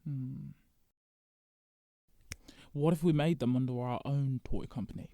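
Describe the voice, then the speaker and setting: A man's voice: mid-range voice, unhurried, dark tone, no echo, studio quality, close to an everyday voice. A man playing Santa as a sheepish, stubborn boss in a scripted comedic audio drama, hesitant and defensive. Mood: concerned, questioning.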